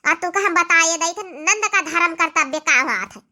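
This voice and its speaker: Woman voicing a very shrill, scolding village sister-in-law. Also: moderate pace, bright, forceful tone, heavily acted. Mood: bossy, threatening. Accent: Awadhi. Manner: scolding, combative, rhetorical. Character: fiery, scolding young village sister-in-law defending a sick neighbour. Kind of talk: Hindi-belt comedy cartoon dialogue.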